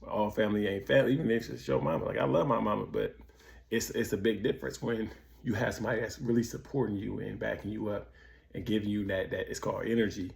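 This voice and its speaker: A man's voice: lowish voice, fairly even intonation, fast talker, vocal fry. An American man speaking in a casual, candid monologue, slangy, repeating himself as he searches for words. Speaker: vlogger sharing personal experience of prison.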